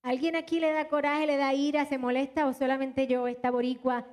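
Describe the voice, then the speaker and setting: Animated high-voiced woman pastor. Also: fairly quick. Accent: mixed Latin American Spanish, little Puerto Rican. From Puerto Rico. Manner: animated, talks straight to the congregation. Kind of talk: live church sermon and announcements. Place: church hall.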